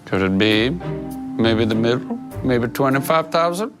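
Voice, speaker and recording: A man's voice: mid-range voice, lively intonation, unhurried. A man co-hosting a scripted show, joking and bantering in a wry, playful way. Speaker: co-host. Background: music.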